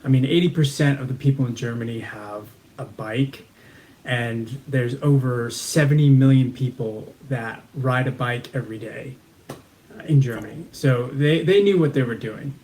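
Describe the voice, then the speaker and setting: Male interview guest, confident and earnest, mid pitch. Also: normal speed, darkish tone, slight background noise, poor audio. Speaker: guest, community page founder. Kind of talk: casual interview.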